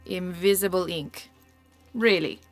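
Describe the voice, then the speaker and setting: A woman's voice: on the low side, slow. A woman playing a playful, cheeky, impatient young villager in a video game, with a casual, teasing way of talking. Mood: curious. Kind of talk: cinematic video game acting. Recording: music behind the voice.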